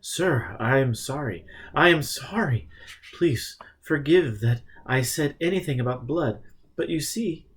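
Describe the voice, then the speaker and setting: A man voicing a timid, desperate vampire from Transylvania for an audiobook, in halting, apologetic English with a Transylvanian accent. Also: medium pitch, animated, breathy edge, voice pushed up. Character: shrinking, pleading male vampire from Transylvania, desperate and polite.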